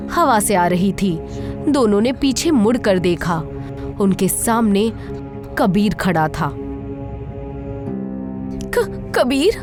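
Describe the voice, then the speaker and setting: A woman narrator reading a scripted horror story. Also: mid-range voice.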